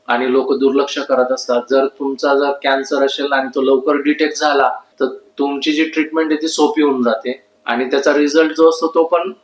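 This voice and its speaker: Man, mid-pitched, little intonation, instructive medical explainer, repeats key points. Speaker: ENT doctor giving health advice. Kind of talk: informal medical explainer to camera. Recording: echoey room.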